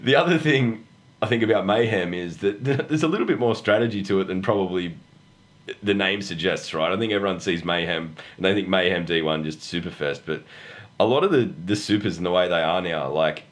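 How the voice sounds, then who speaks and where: A low-voiced Australian man, husky and hoarse from the flu. He chats casually as a podcast host about gaming, joking at his own expense and swearing freely. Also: fairly quick. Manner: jokey, self-deprecating.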